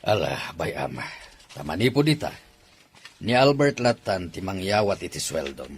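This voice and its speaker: A man's voice: deep voice, very animated, measured pace, husky, full character voice. A man voicing a kindly, authoritative older company owner who speaks to his son and his housemaid in a radio drama. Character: older male company owner, father and employer.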